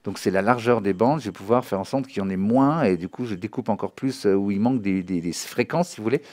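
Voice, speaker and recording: Low-voiced man teaching music software. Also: quick pace. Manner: didactic, often checking understanding. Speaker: music software trainer giving a tutorial. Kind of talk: informal spoken software tutorial. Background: synthesizer and music demo sounds.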